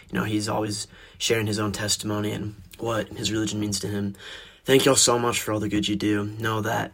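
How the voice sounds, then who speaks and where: A man's voice: on the low side, a bit bright. A man in his twenties from Texas, giving a casual testimonial as a fan in a voice message. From Texas, United States. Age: 20s.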